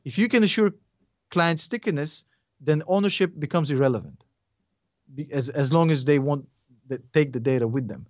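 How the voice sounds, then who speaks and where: A fairly high-voiced man in his forties with a Russian accent talks loosely and bluntly as an interview guest, mixing jokes and curses into his points. His audio is dull and lo-fi, and the room is dead dry. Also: lively intonation, fairly quick, long pauses, darkish tone, dry recording. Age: 40s. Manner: rambling, blunt, swears, jokes. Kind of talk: casual interview chat.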